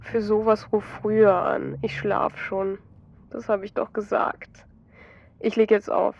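A woman voicing a tired ex-husband with an Austrian accent, talking quietly and drowsily. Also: medium pitch, flat delivery, normal speed, no room sound, highs cut off, close to an everyday voice. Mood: sleepy. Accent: Austrian German. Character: tired, sleepy ex-husband, softening.

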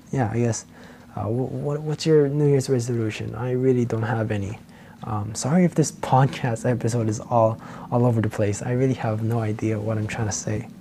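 A man's voice: on the low side, a little hiss or hum. A teenage boy hosting a solo podcast, rambling casually with lots of fillers and restarts. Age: teens. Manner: meandering, many fillers and restarts, soft laughs. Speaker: podcast host.

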